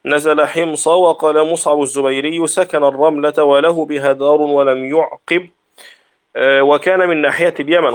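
A man reads a classical scholarly text aloud in a slightly high-pitched voice. His delivery is formal, like a student reciting from a book during a religious lesson.

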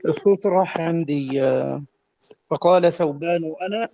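A man's voice: on the high side, normal speed, creaky, warm tone. A man giving brief corrections as a teacher in an online lesson, over muffled call audio. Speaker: teacher correcting a student's reading.

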